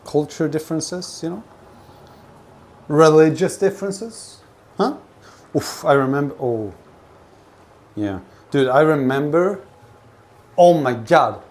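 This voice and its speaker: A man from Sweden chatting casually on a livestream from his kitchen, telling personal stories in a rambling, halting, sweary way. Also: fairly high pitch, expressive, darkish tone. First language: not Swedish; grew up with several languages. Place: home kitchen while cooking. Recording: clear kitchen noise, frying food. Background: frying food, kitchen ambience.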